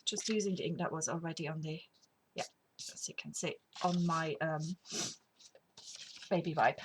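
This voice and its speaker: Brief: middle-aged woman, lowish voice, a bit sniffly from a cold, chatty crafting tutorial with a faint hiss behind. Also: normal speed. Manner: rambling, chatty, drops to whispers while working. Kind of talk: casual craft demonstration. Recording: faint background noise.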